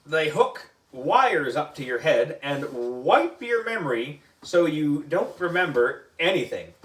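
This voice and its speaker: A man's voice: fairly high pitch, sing-song, slow, a bit bright, full character voice. A man voicing a cocky schoolboy in a storybook, dramatic and teasing, with heavy emphasis. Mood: know-it-all. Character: schoolboy spinning wild theories, cocky and dramatic. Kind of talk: storybook character acting. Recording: roomy echo, distant microphone.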